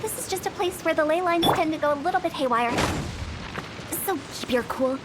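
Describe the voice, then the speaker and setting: A woman's voice: extremely high pitch, brisk, loud music behind the voice. A young woman voicing a playful, mischievous female funeral director in a video game, teasing and mock-spooky. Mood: guiding.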